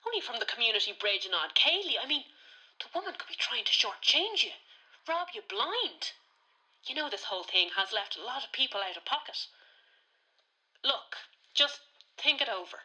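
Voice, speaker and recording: An actress plays a fretting Irish daughter in a radio comedy, pleading with an elderly mother. The voice is high and very bright, with an exasperated, insistent, colloquial delivery that moves up and down a lot. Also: very animated, normal speed, long pauses, full character voice, low-quality recording. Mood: worried, exasperated.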